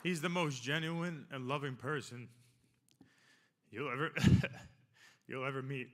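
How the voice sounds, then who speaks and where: A man around forty speaks into a podium microphone at a ceremony hall. His voice is bright and forward. He rambles through his acceptance speech, joking one moment and heartfelt the next. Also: mid-range voice, a little slow. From Long Island, New York. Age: 40s. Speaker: hall of fame inductee.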